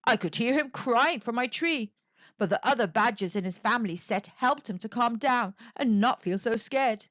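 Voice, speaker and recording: Woman as a kindly, lively storybook owl. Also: fairly high pitch, moderate pace, no room sound, dull audio. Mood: reassuring. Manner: encouraging, explanatory. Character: kind, reassuring male tawny owl. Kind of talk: children's storybook character voice.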